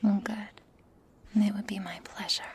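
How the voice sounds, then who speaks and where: A woman voicing a sadistic, mockingly tender female werewolf in a breathy, husky, deliberate whisper for an ASMR roleplay, close to the microphone. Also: medium pitch, steady tone, darkish tone, a little hiss or hum, lightly acted. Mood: soothing, tender. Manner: slow, taunting, deliberate delivery with sighs.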